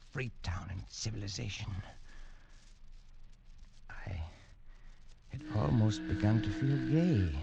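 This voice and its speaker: A haunted, stammering narrator. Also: male voice, fairly low pitch, vocal fry, background noise. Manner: tense first-person storyteller, stammering and hushed. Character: haunted Englishman narrating his own nightmare. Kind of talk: 1940s radio acting. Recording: lo-fi old radio recording under music.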